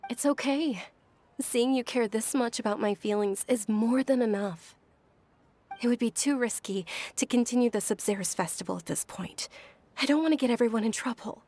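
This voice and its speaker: An actress playing a selfless, gentle young noblewoman in a video game, with a soft, breathy, reassuring voice. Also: a little high, normal speed. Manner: reassuring, wistful.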